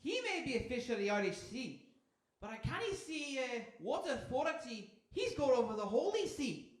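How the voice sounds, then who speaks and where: A cheeky Scottish seagull for live radio theatre. The voice is high and swoops about, blunt and teasing, with drawling fillers. It rings in an echoey hall. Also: female voice, sing-song, normal speed. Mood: jokey, boisterous. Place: on stage in a hall before an audience.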